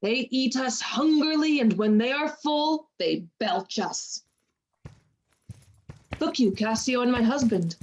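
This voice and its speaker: A woman playing a worldly, cynical waiting-woman in a Shakespearean radio play, measured and knowing. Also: on the high side, lively intonation, dull audio.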